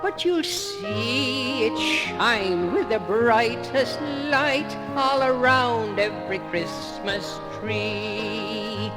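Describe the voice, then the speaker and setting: Female singer, children's holiday song, very slow phrasing, loud musical backing, old vinyl record. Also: on the high side, over loud music, rough recording.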